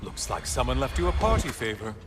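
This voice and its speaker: An actor playing a bitter, mocking sun god who taunts with sarcastic remarks in video game dialogue. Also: male voice, mid-range voice, very animated, brisk, lightly acted. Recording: game audio with loud music.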